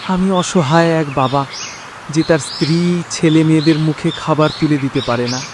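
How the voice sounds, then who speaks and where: A man's voice: on the high side, normal speed, lightly acted. A man playing a poor, devout village father in a cartoon moral story, pleading and despairing. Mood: broken despair. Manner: plaintive, pleading, prayerful. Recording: noisy track with birdsong and nature ambience.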